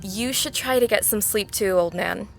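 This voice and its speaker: A woman playing a tough but vulnerable young-hearted woman, wry and candid, speaking haltingly in an audio drama. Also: mid-range voice, gravelly, faint music behind, natural voice.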